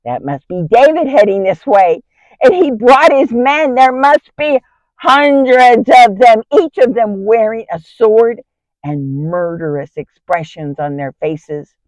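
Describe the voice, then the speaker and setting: A woman's voice: sits low, dark tone, no echo, low-quality recording. A woman playing a kind, brave biblical wife in an earnest, theatrical dramatic monologue. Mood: fearful, awed. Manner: theatrical, earnest, emphatic storytelling. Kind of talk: dramatic first-person Bible monologue.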